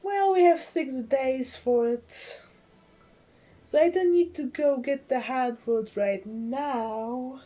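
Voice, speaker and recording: Female game streamer, Polish accent, unhurried and soft-edged, fairly high voice, easygoing chat with faint music. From Poland. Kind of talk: casual livestream game chat.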